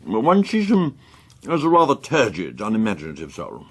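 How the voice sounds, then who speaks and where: An actor playing a waspish upper-class intelligence agent in a radio drama, languid and arch in manner. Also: male voice, on the low side, huge pitch swings, moderate pace, a bit bright, slightly rough, heavily acted. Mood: arch, amused. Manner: languid, arch, affected endearments.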